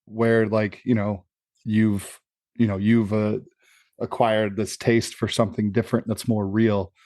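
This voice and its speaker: A man's voice: lowish voice, little pitch movement, unhurried, mellow, no room sound. A man co-hosting a podcast, talking casually and searching for his words as he reasons. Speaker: podcast co-host. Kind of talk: casual chat.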